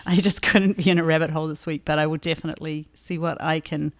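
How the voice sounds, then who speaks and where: A woman co-hosting a livestream as an author, chatting casually and answering audience questions in a thoughtful, halting way. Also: low-pitched, steady tone.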